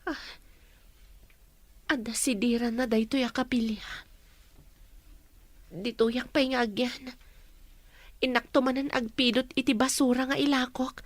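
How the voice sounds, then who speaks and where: A woman's voice: sits high, steady tone, measured pace, pauses often, dry recording. A woman playing a humble, sorrowful young orphan in an audio drama, speaking plaintively and respectfully, with background noise. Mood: plaintive, grateful. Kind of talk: dramatized audio story acting.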